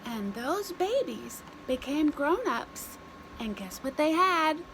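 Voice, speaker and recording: A woman reading a story aloud to young children in an expressive, deliberate way, with simple phrases and dramatic pauses. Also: high-pitched, a little hiss or hum, poor audio.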